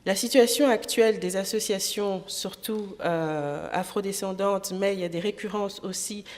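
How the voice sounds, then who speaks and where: A woman's voice: mid-range voice, normal speed. A woman originally from Congo, an association president and trainer, giving a pragmatic conference talk with frequent hesitations. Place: parliament conference hall. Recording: long hall reverb.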